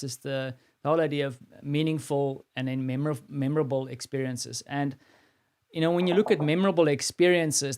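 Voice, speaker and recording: A man with a South African accent hosting a live stream, talking casually and rambling with many fillers and restarts. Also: a little high.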